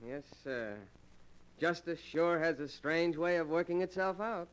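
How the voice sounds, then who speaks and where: An actor playing a stern, plain-spoken American police lieutenant with brisk authority in a 1940s radio drama. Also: male voice, fairly high pitch, clean tone, lightly acted, poor audio. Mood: grimly reflective.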